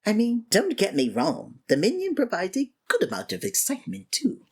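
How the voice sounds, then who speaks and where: A woman performing a scripted villain roleplay, breathy and theatrical, exasperated and swinging abruptly between registers. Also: mid-range voice, huge pitch swings, moderate pace. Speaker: ASMR voice actor playing a villain.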